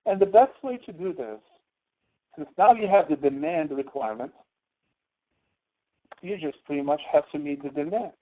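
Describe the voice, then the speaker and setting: A man teaching a spreadsheet lesson online, explaining informally and a little haltingly. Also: fairly high pitch, expressive. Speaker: instructor. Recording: tinny phone-like audio.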